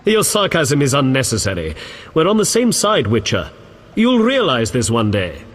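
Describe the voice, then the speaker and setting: An actor playing an earnest, dignified knight in a video game, speaking in a formal manner. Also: male voice, mid-range voice, wildly swooping pitch, normal speed. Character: earnest, dignified male knight who hunts beasts. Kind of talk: video game character acting. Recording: long echo of a large hall.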